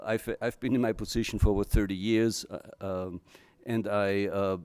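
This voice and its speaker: Hesitant, low-voiced older man from Austria. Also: a little mellow, smooth. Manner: halting, with drawn-out hesitations. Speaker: state trade director. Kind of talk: informal welcome speech at a meeting.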